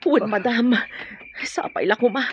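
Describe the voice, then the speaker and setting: A woman's voice: a little high, unhurried, a little hiss or hum, natural voice. A woman playing a frightened, loyal housemaid in a radio drama, fretful and pleading. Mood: tearful fear. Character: frightened, loyal housemaid fearing for her life. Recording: dull, muffled radio drama audio.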